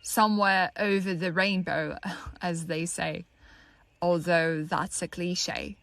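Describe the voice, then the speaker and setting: A woman playing a troubled, lonely young woman in an audio monologue, halting, wry and conversational as she muses bitterly. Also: on the low side, fairly even intonation, gravelly, fairly bright tone, no echo, exaggerated voice. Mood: wistful, hesitant. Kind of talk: dramatic monologue, audio drama.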